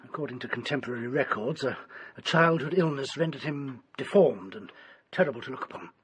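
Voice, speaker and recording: Actor as intense, bright-voiced English doctor. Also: male voice, mid-range voice, fairly quick, lightly acted. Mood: grave, confiding. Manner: formal, dramatic, urgent pleading and grave storytelling. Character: agitated, desperate male doctor obsessed with an occult book. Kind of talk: British radio drama acting.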